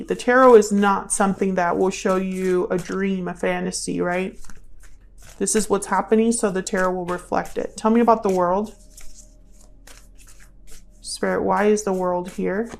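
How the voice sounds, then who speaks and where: A woman reads tarot as a channel host, speaking at a medium pitch. She talks conversationally, thinking aloud as she interprets, and circles back to repeat questions and phrases. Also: steady tone, moderate pace, gravelly. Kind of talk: intuitive tarot reading, casual spoken commentary.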